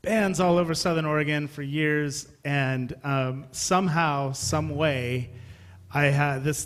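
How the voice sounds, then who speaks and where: A man hosting a live-streamed concert, talking casually with fillers and restarts while reading out viewer comments. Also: mid-range voice. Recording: long hall reverb.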